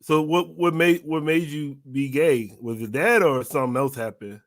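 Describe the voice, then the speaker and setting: A man's voice: a little high, moderate pace. A man working as an interviewer, asking questions in a casual, conversational way.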